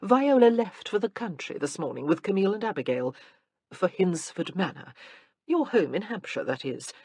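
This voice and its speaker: A woman's voice: medium pitch, big pitch swings, normal speed, dark tone, husky, no echo, clean studio sound. A woman voicing a kind, gracious middle-aged duchess in an audiobook. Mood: reassuring. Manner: kindly, explanatory.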